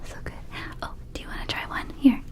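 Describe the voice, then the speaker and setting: A woman voicing a gentle vampire dispensary keeper in a whispered ASMR roleplay, soothing and unhurried, with childlike wording. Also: natural voice. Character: gentle female vampire dispensary keeper offering magic herbs.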